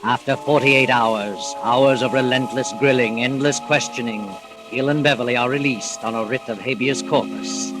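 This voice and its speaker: A man's voice: mid-range voice, fairly even intonation, moderate pace. A man narrating a 1940s radio mystery as announcer, in a formal, dramatic scripted style. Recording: old radio recording with loud music.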